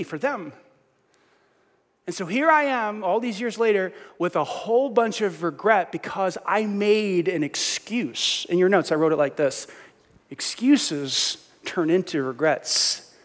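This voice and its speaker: A middle-aged man preaching a sermon as pastor, lively and self-mocking, often restarting his sentences. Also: high-pitched, measured pace, long reverb tail.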